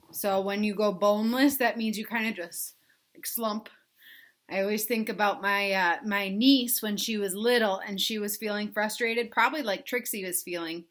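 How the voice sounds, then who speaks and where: A woman reading a picture book aloud to young children, pausing to explain things simply and ask them questions. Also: medium pitch, a bit bright. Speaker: read-aloud storyteller for children.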